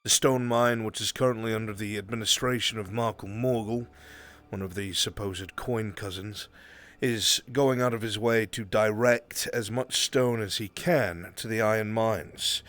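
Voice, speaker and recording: A man playing a proud, dutiful military lord in a fantasy roleplay, speaking in formal, measured, deferential phrases. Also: lowish voice, fairly even intonation, fairly bright tone. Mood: formal, firm.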